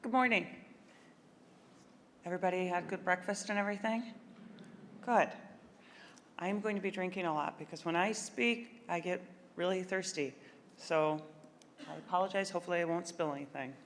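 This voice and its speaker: A woman giving a conference keynote in a chatty, emphatic way, talking quickly. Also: fairly low pitch, a little hiss or hum. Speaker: keynote speaker. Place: large conference hall. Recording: long hall reverb, faint ambience.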